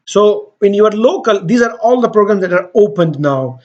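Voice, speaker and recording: A man's voice: sits high, lively intonation, moderate pace, highs cut off. A man hosting a screen-share walkthrough, explaining in a loose, conversational way with frequent fillers and restarts. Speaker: host giving application guidance.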